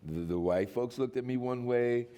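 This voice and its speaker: A middle-aged man from Cuba with a Cuban accent from growing up in Boston, chatting as a panelist and telling animated, playful stories. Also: medium pitch, moderate pace. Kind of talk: casual panel chat.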